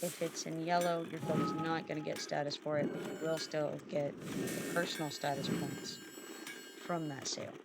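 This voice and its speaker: Gravelly, stuffy-voiced game streamer. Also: medium pitch, moderate pace, heavy background noise. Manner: relaxed, hesitant, many fillers and restarts. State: stuffy and sniffling from allergies. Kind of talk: casual gameplay commentary. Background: loud background music.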